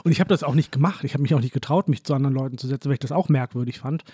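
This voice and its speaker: A man's voice: medium pitch, racing, darkish tone, slightly rough, dry recording. A man from northern Germany, a guest chatting casually and thoughtfully in an interview, playing along with jokes.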